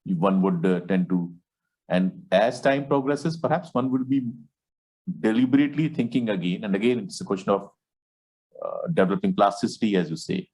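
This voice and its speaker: A man with a fairly low voice hosts an online support meeting over a video call. Punjabi is his first tongue, and his comes slowly and thoughtfully, full of pauses, fillers and restarts. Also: lively intonation, darkish tone, low-quality recording. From India. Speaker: support group host.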